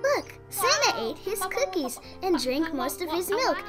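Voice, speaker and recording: A woman's voice: very high-pitched, sing-song, measured pace, music in the background, exaggerated voice. A woman playing an eager eldest child at Christmas in a radio play. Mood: excited.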